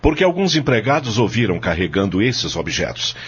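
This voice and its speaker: A man's voice: on the low side, big pitch swings, fairly quick, slightly rough, heavily acted. A man with a Brazilian accent playing a hard, self-assured coffee farmer who answers formally and assertively in court in an audio drama. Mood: accusing, assertive. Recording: dull, lo-fi audio.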